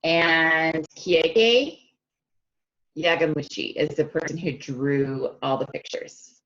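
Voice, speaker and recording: A woman reading a picture book aloud to children, expressive and stretching key words, often stopping to ask them questions directly, on a slightly dull lo-fi recording. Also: low-pitched, takes it slowly. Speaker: speech-language pathologist reading to children. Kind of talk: animated children's read-aloud with questions to listeners.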